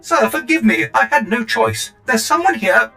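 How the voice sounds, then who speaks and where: A man's voice: very high-pitched, lively intonation, moderate pace, gravelly, sharp tone, faint music behind, heavily acted. A man playing a fussy, polite receptionist droid in a video game, speaking formally and obsequiously. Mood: flustered apology. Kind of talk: video game cutscene acting.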